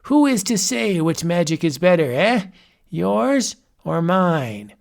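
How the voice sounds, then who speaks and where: A grandiose old warlock raves in a raised, gravelly voice, as a man puts him on. He drags out his boasts slowly and theatrically, climbing toward shrieks. A storybook villain. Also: high voice, big pitch swings, voice pushed up, heavily acted. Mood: gloating. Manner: ranting, theatrical, rising to shrieks. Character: raving old male warlock, grandiose and unhinged.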